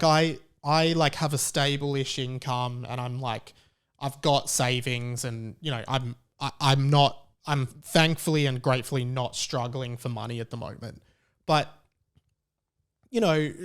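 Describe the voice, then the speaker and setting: A man with a slightly high voice talks through his opinions in a casual podcast style. His delivery is conversational and halting, and he leans hard on the words he wants to stress. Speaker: podcast host.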